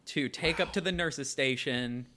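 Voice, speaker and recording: A man's voice: mid-range voice, measured pace, pushed and bright, slight background noise. A man in his late twenties from Florida, a podcast co-host telling a story in casual chat and swearing freely. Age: late 20s.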